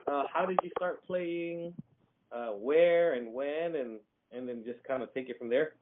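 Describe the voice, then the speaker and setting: A man's voice: on the high side, a little slow. A man hosting a casual phone interview, friendly and rambling, dropping "man" into his questions. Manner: friendly, rambling, chuckles, says "man" a lot. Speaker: interviewer, host. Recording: tinny phone line with noise behind.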